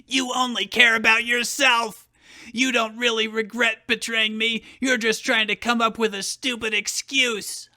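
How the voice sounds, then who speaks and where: Man plays bitter young son in fan fiction theatre: high, piercing, dry sound, sarcastic and snarling. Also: flat delivery, a little slow, pushed and bright, no room sound, exaggerated voice. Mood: fuming. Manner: scornful, snarling, dramatic. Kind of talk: fan fiction dramatic reading.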